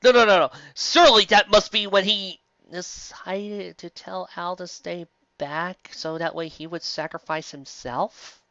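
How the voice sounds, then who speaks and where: High-voiced man, theatrical and sarcastic. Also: fairly even intonation, unhurried, hardly any pauses, sharp tone, no room sound, highs cut off. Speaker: commentator reading and mocking fan fiction. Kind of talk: dramatic reading with sarcastic running commentary.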